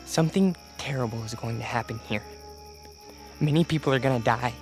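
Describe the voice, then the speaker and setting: An actor playing a desperate young alien man posing as human, speaking urgently and haltingly in a radio drama. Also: mid-range voice, soft background music, natural voice. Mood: urgent warning.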